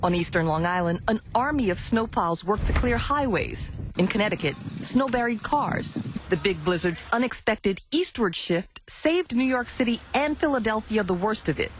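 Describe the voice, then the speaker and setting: Female network reporter, medium pitch, packaged news narration over noticeable ambient noise. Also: animated, normal speed.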